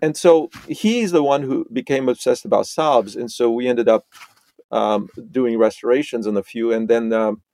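A middle-aged man from Romania, a podcast guest, talking in a halting way with many restarts and repeated words. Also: medium pitch, warm tone, dry recording. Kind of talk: conversational interview.

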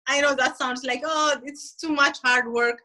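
A woman's voice: high voice, fairly even intonation, moderate pace, sharp tone. A woman who is a non-native English speaker, chatting casually and earnestly as an agreeable guest on an online call. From immigrant from outside the United States. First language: not English. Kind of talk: casual chat.

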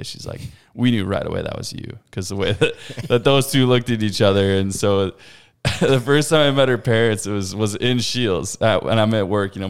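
A loud man in his thirties, talking easily as a podcast guest. His low voice has a gravelly edge but stays smooth and full. He rambles warmly through his stories, full of fillers. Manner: loud, laughs often, rambling with many fillers. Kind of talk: casual chat.

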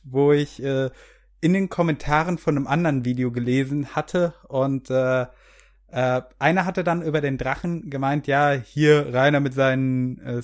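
A man in casual podcast chat, speaking in a wry, amused manner. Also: a little high. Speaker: podcast participant, video critic.

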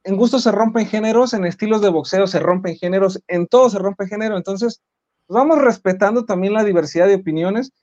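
A man's voice: sits high, brisk, darkish tone, lo-fi sound. A man with a Mexican accent hosting a sports debate show, arguing his opinions emphatically. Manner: emphatic, argumentative.

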